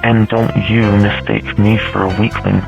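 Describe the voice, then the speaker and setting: A man playing a mocking, protective male spirit with a slow, taunting delivery in an amateur fantasy audio drama. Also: on the low side, level tone, gravelly, muffled recording. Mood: taunting, menacing. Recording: loud music bed under voice.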